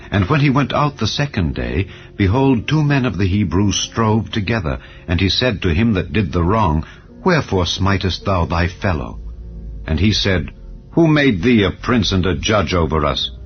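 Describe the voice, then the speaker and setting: A man narrating scripture as a dramatized audiobook, in a solemn, measured storytelling manner. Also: sits low. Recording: slightly dull audio over music. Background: clear background music.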